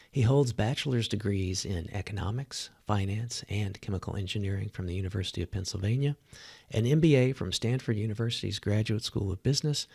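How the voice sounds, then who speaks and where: Husky, gravelly male podcast host. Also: fairly low pitch, darkish tone. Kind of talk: scripted introduction and interview questions.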